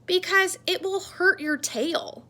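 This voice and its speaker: A woman voicing a friendly, earnest talking computer screen that gently scolds, in a children's picture book. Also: very high-pitched, takes it slowly, pitched above the performer's own voice, full character voice. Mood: earnest, insistent. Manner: earnest, explanatory.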